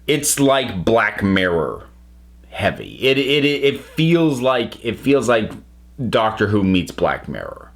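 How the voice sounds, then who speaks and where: A man with a fairly low voice talks through a review in a casual way. He is thoughtful and emphatic, and often breaks off mid-sentence to rephrase what he means. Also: very animated, slow, gravelly, a bit bright. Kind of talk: casual spoken review, talking to viewers.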